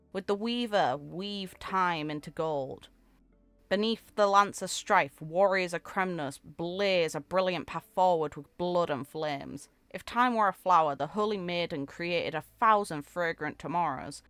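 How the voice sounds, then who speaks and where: A woman's voice: on the low side, a bit bright, clear, resonant tone, no room sound. A woman voicing a sweet, wistful young heroine from a game story, in a tender storybook manner.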